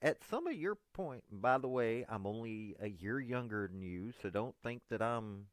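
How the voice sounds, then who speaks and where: A man's voice: lowish voice, expressive, normal speed, no echo. Folksy East Tennessee man, dry sound. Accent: Southern US, East Tennessee. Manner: folksy, meandering, earnest. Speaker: political commentator. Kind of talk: direct-address monologue to a politician.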